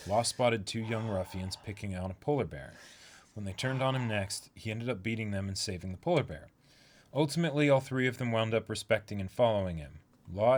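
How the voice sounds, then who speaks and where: Lowish-voiced man reading and explaining. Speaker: co-host of a dramatic manga reading stream. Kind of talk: casual discussion and reading aloud.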